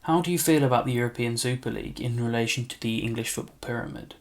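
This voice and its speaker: A male interviewer puts short, neutral questions to football fans. He talks quickly. His voice has a gravelly rasp. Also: medium pitch.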